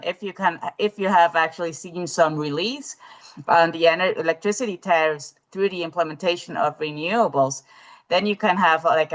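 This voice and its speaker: A woman's voice: low-pitched, fairly bright tone, low-quality recording. A woman presenting in a live webinar over a video call, talking with many fillers and restarts as she works through her answer.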